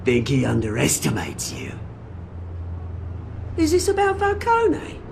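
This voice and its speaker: A sneering, taunting crime boss. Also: male voice, medium pitch, wildly swooping pitch, takes it slowly, vocal fry, background noise, exaggerated voice. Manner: mocking, theatrical taunting. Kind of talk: video game cutscene acting.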